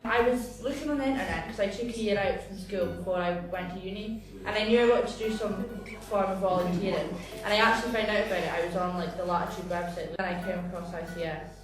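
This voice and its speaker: A woman's voice: mid-range voice, fast, few pauses, over music. A woman of nineteen chatting in interview answers, full of "like". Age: late teens. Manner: chatty, lots of "like". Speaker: returned volunteer. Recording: echoey room from far off.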